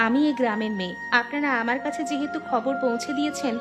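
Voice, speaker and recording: A woman acts out a young village daughter in a cartoon. She is high-pitched, eager and scheming, pressing her point with emphasis. Music plays clearly behind her. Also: fast, natural voice. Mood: eager, persuasive. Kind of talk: Bengali cartoon voice acting.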